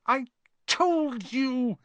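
Man voicing a bossy, high-pitched schoolgirl. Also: animated, extremely slow, fairly bright tone, no room sound, highs cut off, full character voice. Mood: breathless reproach. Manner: scolding, emphatic. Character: bossy, exasperated schoolgirl, breathy and scolding.

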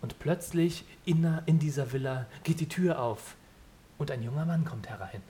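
Male amateur narrator and game leader: somewhat high voice, halting, informal, improvised scene-setting. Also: dark tone, slight background noise. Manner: halting, searching for words.

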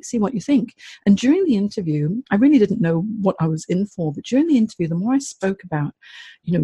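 A middle-aged woman from Hong Kong, a career coach, speaks with a warm, relaxed and slightly husky voice. She is chatty and animated and laughs often as she tells her story. The recording is dry and close. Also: fairly low pitch, dry recording. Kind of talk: interview guest telling her story.

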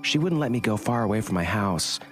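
A small, innocent little girl, put on by a man with a deep voice nudged higher. She talks in a plain, matter-of-fact way. Music plays clearly behind her. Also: expressive, brisk, natural voice. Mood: earnest.

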